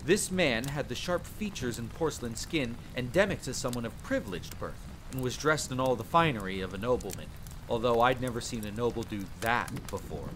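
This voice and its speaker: A man voicing a vampire hunter who theatrically recounts his dark boyhood by a campfire in an audio roleplay, with wry asides. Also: a little fast, background noise, natural voice. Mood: grim, suspenseful. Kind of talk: dramatic first-person storytelling, audio roleplay. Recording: steady rain and rumbling storm ambience. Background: rain and rolling thunder.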